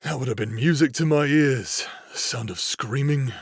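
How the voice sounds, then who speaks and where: A man's voice: medium pitch, moderate pace, slightly rough, no echo, studio quality. A man playing a flippant, casually sadistic demon bounty hunter in an anime-style audio roleplay, lazily drawling his lines. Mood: nonchalant.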